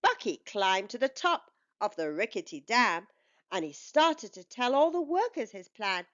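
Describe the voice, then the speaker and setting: A woman reading a rhyming picture book aloud for children in an expressive, deliberate way. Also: a little high, highs cut off.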